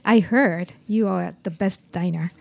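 A middle-aged woman hosts a radio interview. Her voice is soft and mellow, and she pauses often on little fillers as she goes. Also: on the low side, vocal fry, no echo. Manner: soft-spoken, hesitant with many fillers. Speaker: podcast host.

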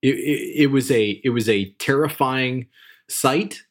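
A man's voice: medium pitch, a little slow. A man with an American accent talking casually as a podcast co-host. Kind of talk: casual chat.

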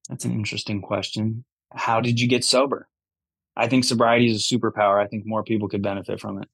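A man's voice: on the low side, level tone, quick pace, vocal fry, fairly bright tone, dry recording. A man giving candid, conversational answers as a podcast guest, talking bluntly and swearing casually. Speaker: podcast guest, cannabis entrepreneur.

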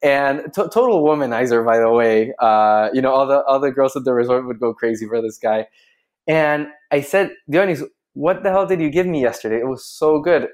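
A man's voice: medium pitch, moderate pace, darkish tone. A man from Chile, a guest on a podcast, telling a story in casual chat and laughing often. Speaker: guest, drinks brand founder.

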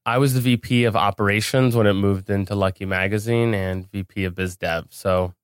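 A man's voice: on the low side, steady tone, fairly quick, clean tone, dry recording. A man speaking casually as an interview guest on a podcast, recorded in a studio.

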